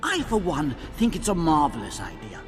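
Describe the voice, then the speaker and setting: An eager councilman, high voice, very lively swoops, loud game music. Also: moderate pace. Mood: approving.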